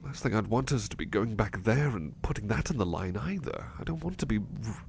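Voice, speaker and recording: Man acting as devoted anxious butler, gravelly low voice, soft stammering delivery, intimate ASMR roleplay. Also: lively intonation, a little fast, background noise. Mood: wistful, pleading. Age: middle-aged or younger adult. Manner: hesitant, stammering, soft-spoken, drifting into whispers. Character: devoted, anxious butler, haunted runaway from another world.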